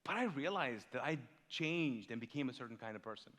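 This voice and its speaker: Animated male pastor in an echoing hall. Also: medium pitch, a little fast. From Kuwait. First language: Urdu. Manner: animated storytelling, big swings in loudness, rhetorical questions. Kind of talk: sermon. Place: large church hall.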